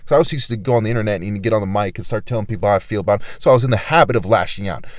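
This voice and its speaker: A man giving an angry, rambling monologue, swearing and swinging between low murmurs and loud outbursts. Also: racing, no room sound, clean studio sound. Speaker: vlogger.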